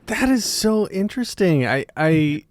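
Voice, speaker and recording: A man's voice: high-pitched, sing-song, measured pace, no room sound. A man hosting a podcast interview casually, building his remarks and questions in rambling fragments.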